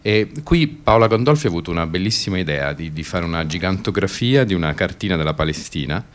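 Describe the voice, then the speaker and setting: A man's voice: low voice, animated, fast. A man presenting a stage show in unscripted speech, hesitant and searching for his words. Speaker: theatre maker presenting the show. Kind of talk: spoken presentation, unscripted explanation.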